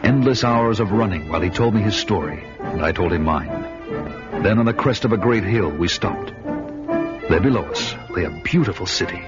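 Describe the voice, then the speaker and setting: Low, solemn barbarian hero. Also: male voice, animated, normal speed. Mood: solemn, vowing. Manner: solemn, archaic, theatrical delivery. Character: grim, bold barbarian warrior recounting his vengeance quest. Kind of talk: fantasy radio drama narration and dialogue. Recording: dull radio recording with loud music.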